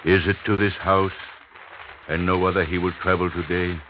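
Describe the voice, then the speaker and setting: An actor playing a commanding Indian priest in an old radio drama, solemn and incantatory in delivery. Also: male voice, deep voice, little pitch movement, moderate pace, close to an everyday voice. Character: commanding, mystical Indian priest hypnotizing a boy. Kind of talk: 1950s radio drama acting. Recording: noisy, tinny old radio recording.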